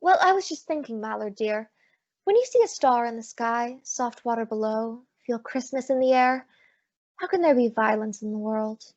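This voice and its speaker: Woman doing a slangy wry girl detective from an old radio show, lightish high voice, muffled lo-fi, no room. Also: moderate pace, a little mellow, dry recording, lightly acted. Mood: playful, curious. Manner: wry, slangy hard-boiled storytelling. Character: wisecracking female private detective narrating her own case. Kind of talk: old-time radio detective drama acting.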